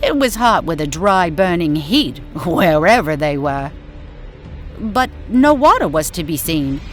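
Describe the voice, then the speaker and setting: A woman's voice: lowish voice, very animated, measured pace, soft background music. A woman giving dramatic scripted horror narration in an old-time radio style, theatrically savoring the descriptive words.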